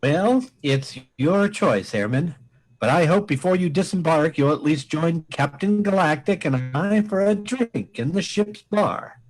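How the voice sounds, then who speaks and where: Fleet admiral, sci-fi comedy, male actor: pompous, formal, melodramatic, highly animated intonation. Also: measured pace, low-quality recording. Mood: formal, cordial. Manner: grave, theatrical, formal. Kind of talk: comic radio play acting.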